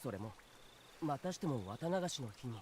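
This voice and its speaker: Actor as an anxious, quick-talking teenage boy. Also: medium pitch, expressive, darkish tone, a little hiss or hum, close to an everyday voice. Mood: pressing. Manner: insistent, questioning. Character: earnest, anxious teenage boy pressing for answers. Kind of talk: Japanese visual novel voice acting.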